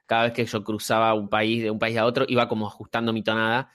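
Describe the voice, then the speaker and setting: A man's voice: lowish voice, completely flat delivery, quick pace, a bit bright. An Argentine man hosts a podcast conversation in a loose, casual way. He stumbles through fillers and repeats words as he gathers his thoughts. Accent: Argentine Spanish. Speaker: podcast host. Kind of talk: conversational interview.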